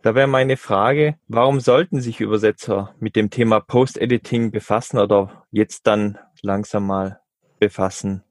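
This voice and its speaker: A man hosting an interview podcast, asking friendly, measured questions. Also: lowish voice, steady tone, no room sound, clean studio sound.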